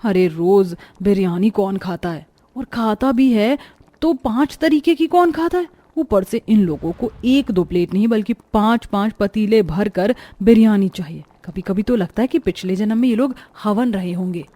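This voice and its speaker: A woman's voice: medium pitch, moderate pace, mellow, close to an everyday voice. A woman voicing an earnest, often complaining young daughter-in-law in a Hindi cartoon story, dutiful but overworked. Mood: exasperated.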